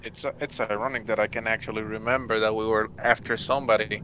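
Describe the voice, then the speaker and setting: A man's voice: lowish voice, little pitch movement, fast, sharp tone, slight background noise, poor audio. A man chatting as a tabletop player, hesitant and swearing casually. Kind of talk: casual chat at a tabletop game.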